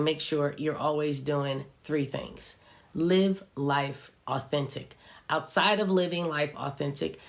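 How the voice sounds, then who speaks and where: A vlogger with a stuffy, congested voice, giving an earnest, reflective motivational talk straight to viewers. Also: medium pitch. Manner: earnest, speaks straight to viewers, fillers like "right" and "you know". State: stuffy from a cold. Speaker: social media vlogger.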